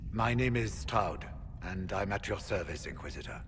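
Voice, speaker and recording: A man voicing a grave, courteous senior warrior in a video game, measured, solemn and formal. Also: low-pitched, animated, a bit bright. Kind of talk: dramatic video game acting. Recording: long reverb, faint ambient noise.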